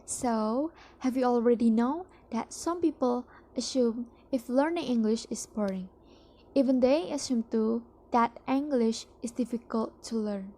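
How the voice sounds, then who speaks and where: Young Indonesian woman, Indonesian accent, slow careful read, stumbles and repeats words. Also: a little high, mellow. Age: young adult. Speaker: student presenting a mock business ad. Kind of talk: read-aloud promotional speech.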